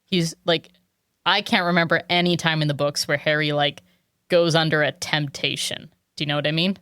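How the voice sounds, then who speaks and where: A woman's voice: sits low, steady tone, moderate pace, a bit bright, no echo, clean studio sound. A woman co-hosting a casual book podcast, chatty and analytical, with many "like"s. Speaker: podcast co-host. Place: home room with a cat.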